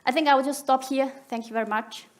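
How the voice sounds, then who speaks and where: A woman's voice: sits high, fairly even intonation, quick pace. A woman who is not a native English speaker, giving a conference talk with hesitant, searching phrasing.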